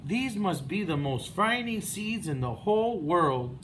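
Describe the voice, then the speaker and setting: Man reading as an impatient, high-pitched storybook toad. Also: expressive, measured pace, fairly bright tone, a little hiss or hum. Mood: fretful. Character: impatient, fretful male toad, storybook gardener.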